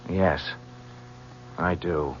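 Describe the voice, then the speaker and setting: A man's voice: low voice, fairly even intonation, extremely slow. An actor playing an earnest, troubled young man, a grown son, in an old-time radio drama. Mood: earnest, worried. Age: young adult. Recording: muffled old radio recording, loud hum, clicks.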